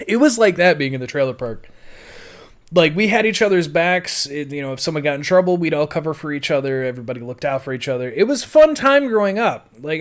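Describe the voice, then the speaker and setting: An American man telling his life story casually as a podcast host, rambling and swearing freely. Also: a little high. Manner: rambling, foul-mouthed.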